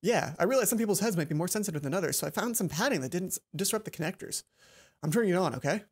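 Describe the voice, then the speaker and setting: A woman's voice: on the low side, lively intonation, very fast, a little mellow, husky. Woman voicing an eager young inventor boy. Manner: eager, earnest, gushing.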